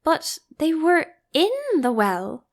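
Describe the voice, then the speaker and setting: A woman's voice: sits high, animated, slow, pitched above the performer's own voice, heavily acted. A woman voicing a curious, polite, easily confused young girl with a questioning manner in an audiobook. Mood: humble, cautious. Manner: cautious, questioning.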